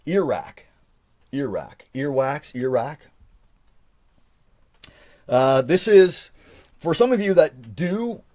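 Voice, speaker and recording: A man's voice: mid-range voice, a little mellow, dry recording. Guy in his 40s rambling on a live stream, bouncy up and down voice, dry close sound. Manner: chatty and rambling, with frequent restarts and chuckles. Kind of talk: casual live chat, reading from an old journal.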